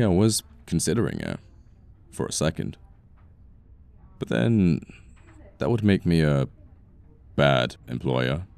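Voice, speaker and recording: An extremely low, creaky British male voice acted by a man for a cold young boss in an ASMR roleplay. He speaks in formal, sardonic phrases with a haughty, bitter edge. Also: darkish tone, exaggerated voice. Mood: cool, condescending. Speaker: voice actor in an audio roleplay. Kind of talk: intimate ASMR roleplay acting.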